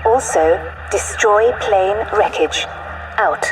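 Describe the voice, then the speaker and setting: Distant, clipped radio operator. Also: female voice, mid-range voice, slow pace, slight background noise. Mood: urgent, formal. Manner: clipped, telegraphic. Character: terse, businesslike female WWII radio operator. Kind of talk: dramatic game cutscene acting. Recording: distant-sounding military radio transmission.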